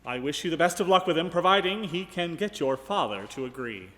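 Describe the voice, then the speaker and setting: A man's voice: fairly high pitch, animated, a little fast, a bit bright. A man playing a plainspoken, good-hearted, hesitant farmhand in a comic radio play. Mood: doubtful, resigned. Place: live on stage in a ballroom. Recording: echoey live hall.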